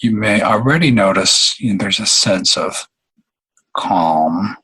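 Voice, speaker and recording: A man with a fairly low, husky voice leads a guided meditation. He speaks very slowly and leaves long pauses, often halting mid-phrase. His tone has a bright, forward edge despite the unhurried delivery. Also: lively intonation, poor audio.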